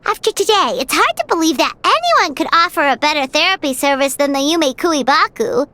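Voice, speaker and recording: A woman's voice: high-pitched, very animated, fairly quick, bright, forceful tone, dry recording, full character voice. A woman voicing a small, curious, eager childlike fairy companion in a video game, often speaking of herself in the third person. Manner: refers to self in third person. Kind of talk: animated video game voice acting.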